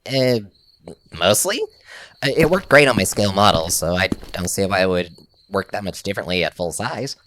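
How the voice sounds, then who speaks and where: A man's voice: low-pitched, animated, normal speed, a bit bright, slight background noise. A man playing a cocky, easily exasperated inventor in an audio drama, casual, glib and given to grumbling. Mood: defensive. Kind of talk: scripted audio drama acting.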